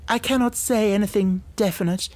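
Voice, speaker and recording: Man voicing an anxious young Victorian gentlewoman, raised pitch. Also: fairly quick, no room sound, close to an everyday voice. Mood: guarded, anxious. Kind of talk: audiobook character dialogue.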